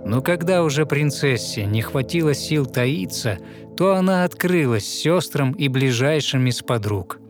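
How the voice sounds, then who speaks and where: A man narrating a fairy tale in verse for an audio play, with measured, rhythmic recitation. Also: mid-range voice, animated, soft background music.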